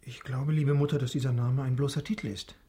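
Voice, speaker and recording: A man's voice: mid-range voice, fairly even intonation, a little fast, warm tone, husky, close to an everyday voice. A man acting an eager, talkative young viscount in a German radio drama, with urbane, courteous manners. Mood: attentive. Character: young Parisian viscount, male, eager, chatty, gallant son.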